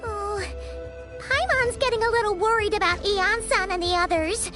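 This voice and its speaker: A woman voicing a tiny, chirpy, childlike fairy companion in a video game, squeaky and full of exclamations. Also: moderate pace. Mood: puzzled, worried. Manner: exclamatory, chirpy, refers to self in third person. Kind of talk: English video game voice acting. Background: game music.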